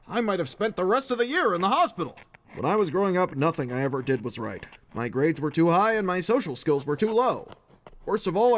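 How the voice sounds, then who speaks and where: A man voicing an eccentric, obsessive middle-aged paranormal researcher, reading his journal as dramatic audiobook narration in an erudite, emphatic way. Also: high-pitched. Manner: theatrical, erudite, emphatic delivery.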